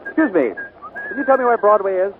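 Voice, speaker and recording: An actor playing an earnest, proud young small-town songwriter in 1940s radio style. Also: male voice, high-pitched, big pitch swings, fast talker. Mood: eager, excited. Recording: tinny scratchy 1940s radio broadcast.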